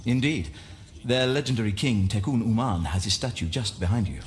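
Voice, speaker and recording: An actor as courteous Victorian club gentleman. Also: on the low side, lively intonation, fairly quick, slight background noise, close to an everyday voice. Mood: formal, obliging. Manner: polite, formal. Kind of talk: video game voice acting.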